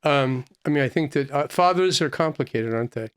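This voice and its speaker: A man hosting an interview podcast, asking questions in an unhurried, conversational way, often restarting his phrases. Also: mid-range voice, smooth. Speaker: podcast host, physician.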